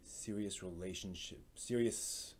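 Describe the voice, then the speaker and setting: A man hosting a live stream, chatting casually and giving recommendations in a halting way, often thinking aloud. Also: low voice, darkish tone, a little hiss or hum. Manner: halting, thinks aloud, hums filler syllables while searching.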